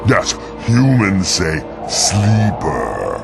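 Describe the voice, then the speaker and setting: Gravelly, low orc shaman. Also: huge pitch swings, extremely slow, exaggerated voice. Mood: solemn. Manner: broken pidgin speech in short clipped phrases. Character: exiled male orc shaman, grateful, solemn, primitive warrior. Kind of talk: video game character dialogue. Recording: loud music under the voice. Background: game music.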